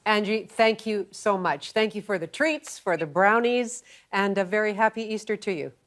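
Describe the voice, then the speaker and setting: A woman's voice: medium pitch, moderate pace. A woman hosting a magazine show, chatting with a guest and presenting events. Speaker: TV show host.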